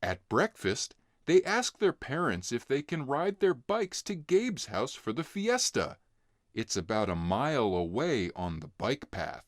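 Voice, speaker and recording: Man, children's story narration, expressive, hugely varied pitch, slow pace. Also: no echo, clean studio sound. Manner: expressive, clearly acting out dialogue. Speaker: narrator reading a children's book.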